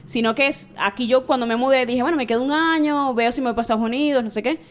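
A Venezuelan woman in her late thirties, a sports journalist telling stories candidly in a relaxed interview. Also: high voice. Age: 30s. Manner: chatty and candid, rapid storytelling. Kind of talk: casual interview chat.

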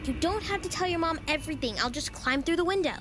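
A high, very animated little-girl voice in an audio drama. She is a strange, pushy child who coaxes and insists. Clear background noise surrounds her. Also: fast talker. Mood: insistent pleading. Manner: insistent, coaxing. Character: strange little girl, pushy, pleading, eerie new neighbor. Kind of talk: immersive audio drama acting.

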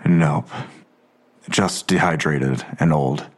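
An actor playing a weary, sardonic middle-aged police officer in an audio drama, dry and terse, with a husky voice. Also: male voice, rumbling low, slow, exaggerated voice.

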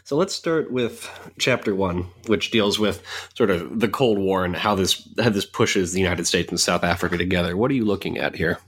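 Male interviewer, low and husky, conversational and engaged. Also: moderate pace, a bit bright. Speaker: interviewer, podcast host. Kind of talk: interview questions.

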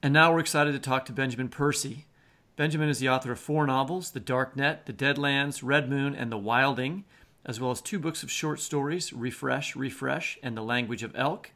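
A man's voice: mid-range voice, normal speed, pushed and bright. A man co-hosting a podcast, giving introductions and chatting with guests. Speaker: podcast co-host. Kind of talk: scripted introductions and interview chat.

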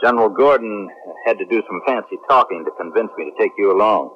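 A man playing a blunt, wry, emotional space captain in a science fiction radio drama, with an expressive, informal delivery. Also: fairly low pitch, fast, natural voice. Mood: frank, reflective. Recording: muffled, noisy 1970s radio recording.

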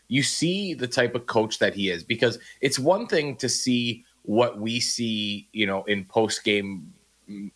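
A man's voice: on the low side, fairly bright tone. A man co-hosting a sports radio show, talking casually and restarting his sentences often as he argues his points.

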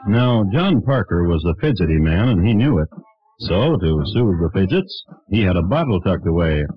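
A man narrating a historical crime drama for old radio in a measured, deadpan way. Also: sits low, breathy edge, heavily acted. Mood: dry, wry. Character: dry, wry storyteller of historical crimes. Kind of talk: scripted 1950s radio narration. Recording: muffled old radio recording.

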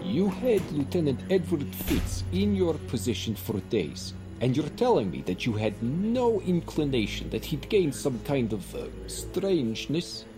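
An actor playing an imperious, slippery professor in an audio drama, smug and demanding. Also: male voice, fairly high pitch, sing-song, a little slow, few pauses, over music, poor audio. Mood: impatient, interrogating. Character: imperious, slippery male professor commanding a military operation.

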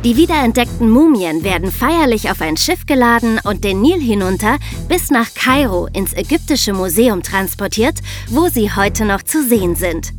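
Young female podcast host, higher voice, clear explanatory delivery, scripted narration, faint music behind. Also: very animated, moderate pace, hardly any pauses.

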